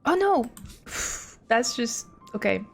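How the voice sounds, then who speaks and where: A woman livestreaming a game talks at a slow pace with plenty of vocal fry. She rambles and thinks aloud in a casual, self-deprecating way, with faint music behind her. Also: on the high side, sing-song. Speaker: game streamer. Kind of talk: casual livestream chat and commentary.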